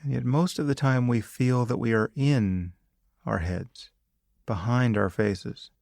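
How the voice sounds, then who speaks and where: Male meditation guide, lowish gravelly voice, calm and measured, lots of space between phrases, close dry sound. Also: dry recording. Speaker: meditation teacher. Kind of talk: guided meditation, spoken instruction.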